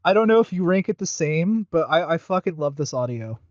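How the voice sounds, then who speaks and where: Male podcast co-host, halting and enthusiastic, casual reviewer chat, very dry close sound with dulled fidelity. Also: fairly high pitch, animated, a little mellow, no room sound, dull audio.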